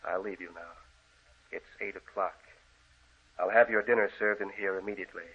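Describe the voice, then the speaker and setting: A grave, husky master of the house. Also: male voice, low voice, steady tone. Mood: controlled, reassuring. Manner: solemn, commanding, deliberate. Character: grave, controlling older brother, master of the house. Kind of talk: vintage radio horror drama acting. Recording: tinny old radio recording.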